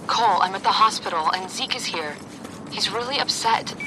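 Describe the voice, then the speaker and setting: A woman's voice: medium pitch, moderate pace, natural voice. An actress playing a hurt, firm young woman in a game, speaking in a serious, accusing way. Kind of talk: dramatic game dialogue. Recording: clear background noise in game audio.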